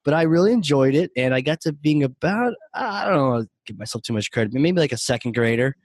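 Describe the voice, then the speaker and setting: A man's voice: medium pitch, expressive, fast talker, no room sound. Man from New Hampshire, podcast co-host, rambling chatty storyteller, laughs a lot, dry close mic. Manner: chatty storyteller, laughs often, restarts phrases. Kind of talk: casual chat.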